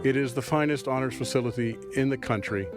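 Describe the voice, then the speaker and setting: A man's voice: medium pitch, fast. A man who is a college dean, speaking formally and proudly in a short scripted spot. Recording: guitar music under voice.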